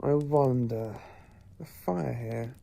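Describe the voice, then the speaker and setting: Eccentric professor and time traveller, male actor, audio drama. Clever, take-charge, musing; gravelly edge, soft dark tone. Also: mid-range voice, slow pace.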